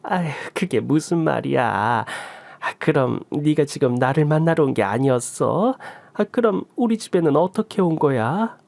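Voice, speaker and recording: A man's voice: on the high side, expressive, normal speed, vocal fry, few pauses, a little mellow, dry recording. A man voicing an emotional older mother-in-law who sighs, scolds and pleads in a story drama reading. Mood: tearful.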